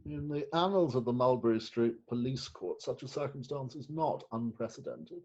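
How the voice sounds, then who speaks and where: A man acts out a flustered middle-aged magistrate in a stage farce. He is pompous and self-pitying, with halting, theatrical delivery. The sound is a little dull and lo-fi. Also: mid-range voice, darkish tone, natural voice. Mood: pompous, mournful. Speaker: actor. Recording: dull remote call audio.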